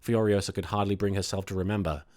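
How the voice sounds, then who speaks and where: A man's voice: low voice, fairly even intonation, fast, a little mellow. A man hosting and narrating a scripted comic story, with some casual introductory talk. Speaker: host and fan fiction reader.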